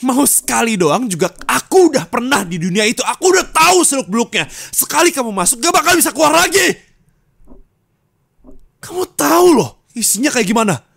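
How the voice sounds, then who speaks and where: A man in an ASMR roleplay, scolding his partner bitterly. He moves between low muttering and raised angry reproach, recorded on a close mic. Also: sing-song, brisk, vocal fry, a bit bright, breathy edge, no echo.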